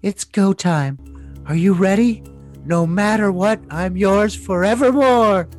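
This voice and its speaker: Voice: medium pitch, takes it slowly, soft background music, close to an everyday voice. A performer voicing a sweet, submissive young woman in love, in an overly dramatic, gushing reading of messages. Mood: earnest.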